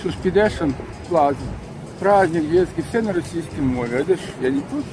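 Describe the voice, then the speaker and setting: A high-pitched man with a Ukrainian accent rambles through a street interview outdoors. Loud music plays behind him in the street recording. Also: animated, brisk, low-quality recording. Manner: rambling, mixes Ukrainian and Russian.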